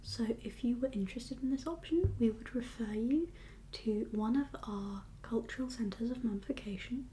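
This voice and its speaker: A woman whispers in a breathy, mellow voice, set a little high. She talks like a doctor in an ASMR roleplay, gently reassuring and a touch hesitant as she goes. A faint hiss sits behind her. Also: normal speed, low-quality recording.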